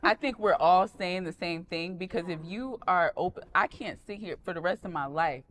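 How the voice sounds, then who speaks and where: A woman in her twenties talking as a panel guest in casual chat, animated and rambling, often restarting her sentences. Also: fairly low pitch, moderate pace. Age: 20s.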